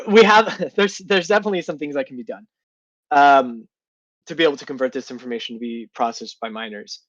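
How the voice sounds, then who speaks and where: A man's voice: mid-range voice, no room sound, highs cut off. A man with a sinus infection, sounding nasal and congested, answering questions in a casual online interview and thinking aloud with many fillers. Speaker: guest developer.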